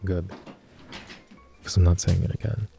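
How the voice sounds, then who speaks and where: A man acts out a possessive, protective husband from a crime family in an intimate audio roleplay. His voice is very low and softly dark, with a rough fry to it. He talks in a gruff, teasing murmur, dry and guarded but fond. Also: level tone, measured pace, faint music behind, full character voice. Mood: guarded, tender. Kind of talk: intimate boyfriend roleplay ASMR.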